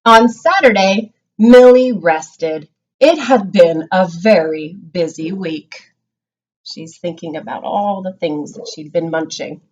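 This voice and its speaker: A woman teacher giving a read-aloud lesson to young children, explaining simply and reading with expressive emphasis. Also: lowish voice, slow, highs cut off. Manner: instructional, simple explanations with check-ins. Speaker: primary school reading teacher.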